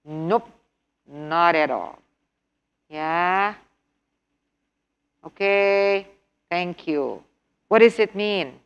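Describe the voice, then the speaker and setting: A middle-aged woman with an Indonesian accent leads an online test-prep class at an unhurried pace. She mixes English and Indonesian, drills key words by repeating them and keeps asking her students questions. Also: fairly low pitch, smooth, muffled recording. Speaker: TOEFL instructor. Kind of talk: online workshop lesson.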